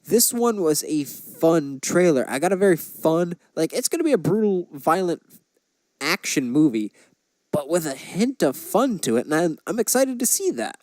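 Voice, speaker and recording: A man's voice: fairly high pitch, sing-song, normal speed, a little mellow, no echo, rough recording. A man talking casually about movie news as a podcast host.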